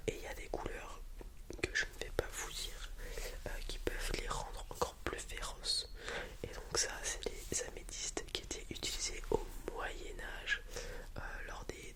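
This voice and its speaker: A person whispering an improvised ASMR roleplay as a calm dragon breeder advising a customer, with a hesitant, soft way of explaining. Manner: hesitant, improvised explanations, softly addressing the listener.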